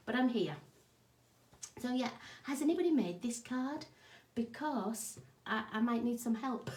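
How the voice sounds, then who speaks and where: A woman with a croaky, hoarse voice hosts a craft live stream, chatting and greeting viewers by name. Her voice sits fairly high for a woman, and the home craft room around her sounds echoey. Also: a little mellow. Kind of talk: casual live-stream chat.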